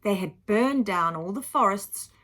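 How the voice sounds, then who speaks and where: A woman reads a children's story in a lively, highly varied voice with a touch of huskiness. She leans on dramatic words and steps aside to explain them plainly, as a teacher would for young listeners. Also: a little slow. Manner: animated, dramatic emphasis, explaining simply for children. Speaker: teacher reading aloud to children.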